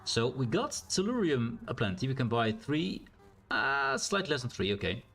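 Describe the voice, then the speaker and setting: A man with a naturally loud voice and a strained, sore throat, thinking aloud in a rambling way as he streams a game. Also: mid-range voice, big pitch swings, normal speed. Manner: rambling, thinks aloud with fillers and self-corrections. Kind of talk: casual live-stream gameplay commentary.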